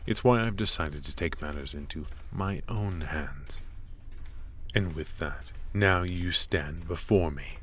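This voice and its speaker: A man's voice: deep voice, slow pace, a bit bright, slight background noise. A man voicing a cold, strict royal professor of magic, stern and clipped, with dry, condescending remarks in an ASMR roleplay.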